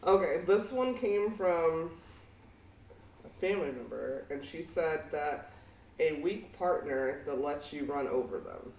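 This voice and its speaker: A woman with a fairly deep voice co-hosting a casual podcast chat, blunt and outspoken, swearing freely. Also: lively intonation, a little slow, darkish tone, recorded from a distance, a little hiss or hum. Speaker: podcast co-host. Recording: echoey room, off mic.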